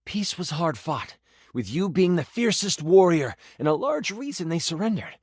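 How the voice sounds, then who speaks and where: An eager male game master tells a fantasy tabletop adventure for a video game. His pitch sits on the high side and swings widely as he builds drama. The recording is dry and close, with a theatrical storyteller's delivery. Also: moderate pace, breathy edge, no echo, exaggerated voice. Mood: dramatic storytelling. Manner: dramatic, expressive, with aside remarks.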